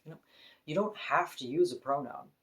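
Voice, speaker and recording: A woman with a deep voice, talking frankly and casually to camera in an opinion vlog, now and then laughing softly. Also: animated, moderate pace. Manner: frank, conversational, with soft laughs. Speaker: vlogger.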